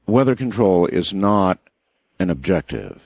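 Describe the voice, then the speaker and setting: Low-voiced man hosting late-night radio. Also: sing-song, unhurried, creaky, darkish tone, no echo. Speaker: radio talk show host. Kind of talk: late-night radio interview, posing questions.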